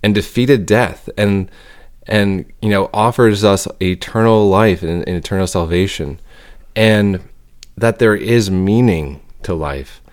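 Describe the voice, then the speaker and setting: Young male podcast co-host: low, smooth voice, sincere and thoughtful. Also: measured pace. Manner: earnest, reflective, searching for words. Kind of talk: casual podcast chat.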